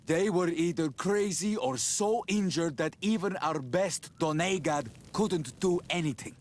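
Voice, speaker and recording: An actor playing a grave, distrustful rebel chief in a fantasy video game, stern and measured. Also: male voice, fairly high pitch, slight background noise, natural voice.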